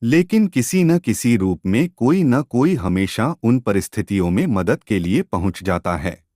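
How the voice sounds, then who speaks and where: A man narrating a scripted story as a storyteller, in a measured, dramatic way. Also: on the low side, very animated, dark tone, no room sound, clean studio sound.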